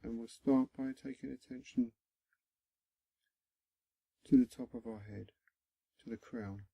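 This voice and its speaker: Slow, low, husky male meditation guide. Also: no room sound, rough recording. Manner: gentle, instructional, with frequent pauses between short phrases. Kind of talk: guided body scan meditation.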